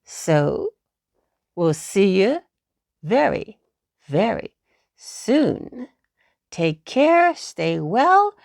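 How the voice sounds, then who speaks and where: Slow, animated woman storyteller. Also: medium pitch, creaky, no room sound, clean studio sound. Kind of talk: expressive children's story narration.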